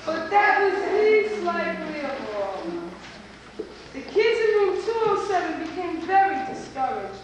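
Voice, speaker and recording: A woman with a high voice narrates a children's story from a stage. She is heard from far off in a strongly echoing space, with clear background noise. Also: big pitch swings, a little slow. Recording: noisy, echoey hall, far from microphone.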